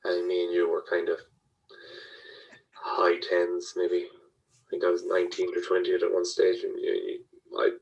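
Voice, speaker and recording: A hoarse man leaving a subdued, recorded voice message. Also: low voice, completely flat delivery, recorded from a distance, lo-fi sound. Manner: gravelly, slow and subdued. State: hoarse after drinking.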